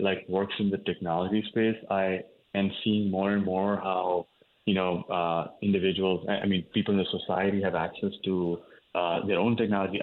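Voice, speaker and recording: A man's voice: low-pitched, phone-quality sound, slight background noise. A man from India, a cardiologist, explaining his work in an interview, with many fillers and restarts. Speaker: cardiologist guest. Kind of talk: interview answers.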